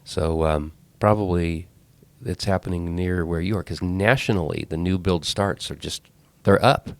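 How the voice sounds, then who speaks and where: A man with a low voice and a congested, clogged-up sound from a head cold hosts a podcast. He talks casually, rambles, and restarts his sentences often. The recording is dry, with no room sound. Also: normal speed, no echo. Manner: rambling, self-correcting. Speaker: podcast host. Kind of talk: casual talk.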